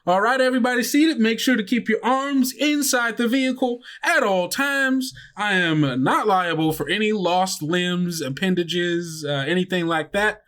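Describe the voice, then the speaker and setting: Man putting on a high-pitched, officious lady bus driver. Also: normal speed, hardly any pauses, fairly bright tone. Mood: brisk, officious. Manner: chatty, officious, theatrical. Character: brisk, theatrical female bus driver.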